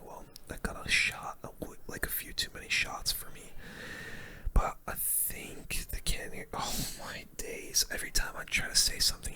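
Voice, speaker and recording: A person giving ASMR gameplay commentary in a breathy whisper, using casual gamer slang. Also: pushed and bright. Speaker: gaming video host.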